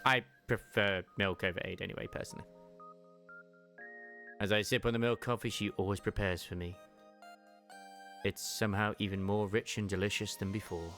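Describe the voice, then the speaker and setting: A man's voice: lowish voice, fairly even intonation, moderate pace, long pauses, a bit bright, faint music behind. A young man reading a game story aloud and chatting enthusiastically about it.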